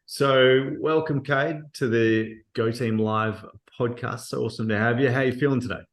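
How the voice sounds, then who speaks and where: A man hosting a live podcast, introducing his guest with many fillers and pauses. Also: medium pitch, steady tone, reverberant. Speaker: podcast host.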